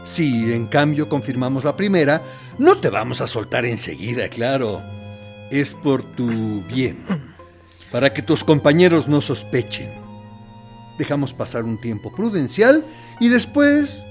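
A military interrogator in a radio drama, coaxing and threatening in a theatrical way. His pitch moves widely as he persuades with false kindness and underlying menace. Music plays faintly behind him. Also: very animated, measured pace. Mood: coolly persuasive.

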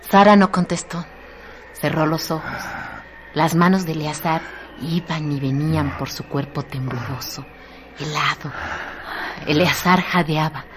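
A woman's voice: sits low, fairly even intonation, a little slow. A woman giving a dramatic, literary narration as the narrator of a radio drama. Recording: noisy radio drama track.

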